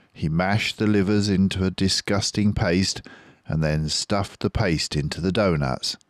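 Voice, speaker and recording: A man's voice: on the low side, no room sound, studio quality. An older man reading a children's story aloud as a storyteller. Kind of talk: children's audiobook narration.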